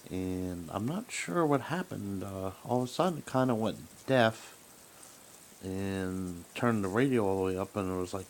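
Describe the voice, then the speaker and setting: A man talking casually and haltingly as he rambles through a hobbyist's gadget troubleshooting demonstration. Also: low-pitched, animated. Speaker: radio hobbyist. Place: small room at home, indoors. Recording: noisy home recording with background hiss.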